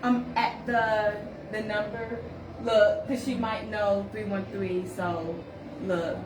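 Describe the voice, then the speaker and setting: A woman's voice: mid-range voice, level tone, slow pace. A woman hosting a live call-out show, chatting casually and laughing at herself. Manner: giggly, chatty, self-teasing. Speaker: host. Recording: echoey room, mic far off, noisy.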